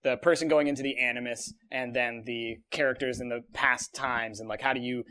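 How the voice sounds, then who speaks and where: A man's voice: medium pitch, steady tone, a little fast, sharp tone. A man on a podcast panel, giving opinions in a casual, explanatory way.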